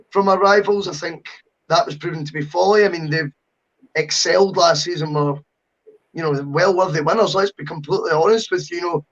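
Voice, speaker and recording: High-voiced man, chatty podcast host. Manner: talkative, opinionated, jokey. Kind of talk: casual football podcast chat. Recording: dull lo-fi audio.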